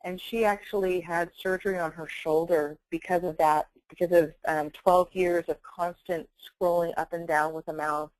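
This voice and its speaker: Woman presenting, low-pitched and dry. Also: fairly even intonation, normal speed, few pauses, darkish tone, dry recording, poor audio. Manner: explanatory, conversational. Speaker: software webinar presenter. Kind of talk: semi-scripted product presentation.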